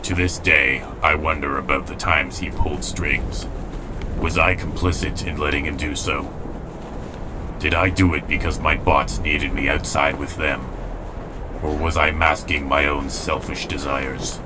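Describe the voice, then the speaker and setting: Man voicing a very deep, grave robot leader. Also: steady tone, unhurried, background noise. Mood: somber. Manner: grave, solemn, formal. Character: weary, principled male robot rebel leader. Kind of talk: dramatic comic dub acting.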